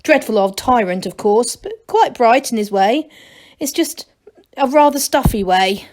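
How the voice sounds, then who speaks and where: A woman's voice: fairly high pitch, animated, measured pace, a little mellow. A woman voicing a clever, self-assured, impatient male gnome from a children's storybook, in an emphatic know-it-all manner. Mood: knowing, cautioning. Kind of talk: children's storybook read aloud.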